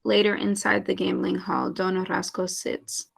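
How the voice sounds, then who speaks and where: A woman narrates stage directions at a table read. Her voice is mid-pitched and heavily creaky, with a great deal of vocal fry. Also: flat delivery, moderate pace.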